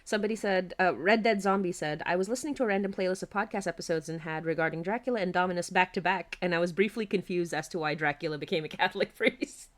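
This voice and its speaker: A woman with a slightly low voice hosts a casual livestream. She is exclamatory and giggly, chatting freely and reading out comments as the creator of a podcast. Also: quick pace, few pauses. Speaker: podcast creator and stream host.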